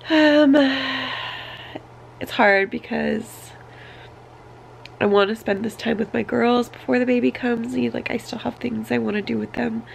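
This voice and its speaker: A woman's voice: fairly high pitch, fairly even intonation, unhurried, creaky, a little hiss or hum, rough recording. A woman in her thirties with a congested, sick voice, giving an informal, hesitant vlog update into a handheld camera. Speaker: family vlogger. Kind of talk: casual personal vlog update. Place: small bedroom, indoors. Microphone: camera held at arm's length.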